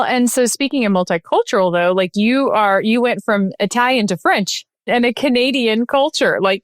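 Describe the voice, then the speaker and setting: A woman's voice: medium pitch, dry recording. Female podcast host, conversational and encouraging; dry, close recording. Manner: conversational, affirming, rephrases questions. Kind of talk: interview questions.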